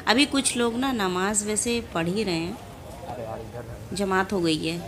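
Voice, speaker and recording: A woman's voice: mid-range voice, measured pace, a crowd in the background. A young woman chatting to her viewers as she films a casual travel vlog on a phone held at arm's length, outdoors in a big courtyard. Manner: chatty, addresses viewers directly. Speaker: vlogger. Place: outdoors in a large mosque courtyard. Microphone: phone camera held at arm's length. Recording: noisy outdoor phone recording.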